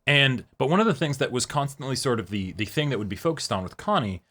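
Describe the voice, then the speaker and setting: A man's voice: medium pitch, big pitch swings, fast talker, sharp tone. A man co-hosting a casual episode review, speaking in an enthusiastic, conversational way with some swearing. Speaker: reaction show co-host.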